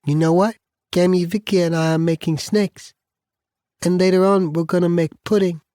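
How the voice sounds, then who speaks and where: A man voicing a small, shy, excited little girl in an audiobook story. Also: high-pitched, normal speed, no room sound, clean studio sound, voice pushed up, close to an everyday voice. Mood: shy excitement.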